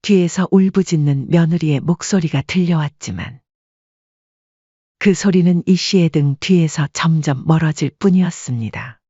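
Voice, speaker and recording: A woman's voice: low voice, normal speed, warm tone, no room sound, muffled recording. A woman working as narrator, reading a scripted dramatic story.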